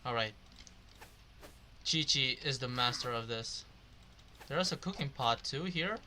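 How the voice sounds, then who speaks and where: A man's voice: mid-range voice, animated, unhurried, sharp tone, a little hiss or hum. A man giving casual gameplay commentary, muttering hesitantly to himself. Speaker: gamer.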